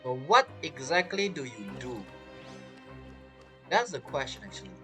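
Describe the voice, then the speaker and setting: A man's voice: mid-range voice, sing-song, measured pace, music in the background. A man giving chatty, casual playthrough commentary, reacting to the game and reading its text aloud. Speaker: game streamer.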